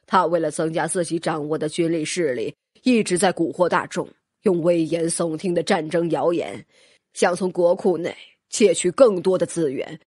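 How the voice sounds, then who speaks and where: Man acting an obsequious court minister, husky. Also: on the high side, normal speed, a little mellow, no room sound, clean studio sound, natural voice. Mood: aggrieved, insinuating. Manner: deferential, formal, wordy. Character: obsequious scheming male court minister reporting to the empress. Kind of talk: audiobook character acting.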